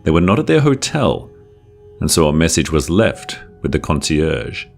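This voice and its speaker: A man narrating a scary folktale from a script in a measured, dramatic storytelling manner with drawn-out phrases. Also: a bass voice, very animated, vocal fry. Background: music.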